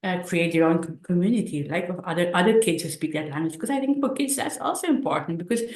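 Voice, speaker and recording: A middle-aged woman with a slightly low voice talks casually about her own life as a guest. She moves haltingly, repeating words and restarting her sentences. The recording has a clearly roomy echo. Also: animated, darkish tone. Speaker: podcast guest, author. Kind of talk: casual personal storytelling.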